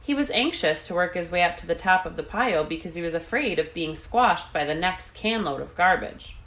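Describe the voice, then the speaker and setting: Female storybook reader, slightly low register, animated intonation, expressive children's narration. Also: poor audio. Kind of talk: expressive read-aloud narration of a children's book.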